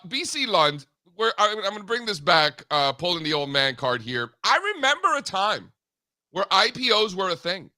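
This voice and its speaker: Bright-toned joking co-host. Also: male voice, dry recording. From Cuban heritage. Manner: joking, animated, drops into low asides. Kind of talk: casual show banter.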